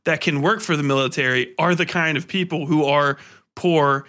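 A man's voice: on the high side, steady tone, normal speed, sharp tone. A man hosting a casual podcast, talking in a blunt, rambling, profane way. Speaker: podcast host.

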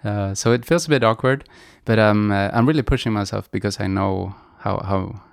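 A middle-aged man from Sweden, a podcast guest who speaks English hesitantly and softly, with many restarts. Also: lowish voice, normal speed, vocal fry, smooth, no room sound, clean studio sound. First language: Swedish. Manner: hesitant, soft-spoken, many restarts, light laughs. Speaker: guest, musician. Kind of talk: podcast interview.

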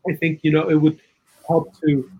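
Guy from south america, kinda high husky voice, chatting politics, earnest and opinionated, says you know a lot. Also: completely flat delivery, moderate pace, narrow, tinny audio. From South America, Chile. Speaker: interview guest, political and legal commentator. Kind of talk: conversational political commentary.

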